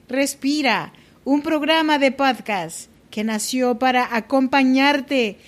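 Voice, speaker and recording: A woman hosts her podcast alone, talking to the listener in a confiding, personal way. Her voice sits a little higher than most women's. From Mexico. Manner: warm, confiding, talks directly to the listener. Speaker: podcast host.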